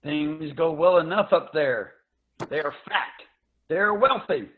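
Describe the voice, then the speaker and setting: A man's voice: on the high side, expressive, a little slow. A man voicing a starved, bitter, dying spacefarer in a dramatic reading, weary and theatrical. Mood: bitter anger. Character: Grito, worn, starved, bitter old spacefarer near death. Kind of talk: dramatic reading of a science fiction story. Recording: tinny, narrow-band video call audio.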